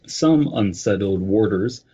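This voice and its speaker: Low-voiced man, slightly muffled podcast sound. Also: lively intonation, takes it slowly, dark tone, breathy edge. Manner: joking, breaking off into asides. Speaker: podcast host. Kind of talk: reading aloud with casual joking chat.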